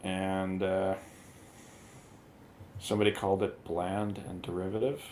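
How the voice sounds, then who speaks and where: A man's voice: sits low, flat delivery, a little slow, warm tone, slight background noise. A man chatting casually as a panelist in a movie review discussion.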